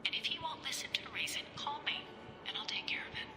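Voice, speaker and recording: A woman's voice: sits high, sing-song, quick pace, whispered. A woman voicing a cool, menacing alien crime boss in a video game, scornful and sardonic. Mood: dismissive. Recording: roomy echo, music under the dialogue.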